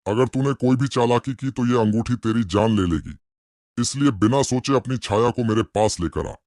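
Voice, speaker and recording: A man's voice: mid-range voice, normal speed, darkish tone, dry recording, studio quality, lightly acted. Man acting as a menacing, commanding male ghost. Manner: threatening, commanding. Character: dangerous, menacing male ghost guarding his garden. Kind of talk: dramatized moral story acting.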